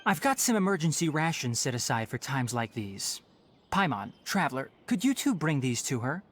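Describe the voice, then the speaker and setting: A man playing a young, scholarly forest ranger in a video game, dutiful and matter-of-fact, explaining things in a measured, slightly wry way. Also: a little high, sing-song, fairly bright tone, slight background noise.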